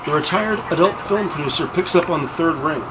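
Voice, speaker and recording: A man's voice: a little high, animated, a little fast, background noise. A man narrating a scripted audio drama in a formal, descriptive reading style.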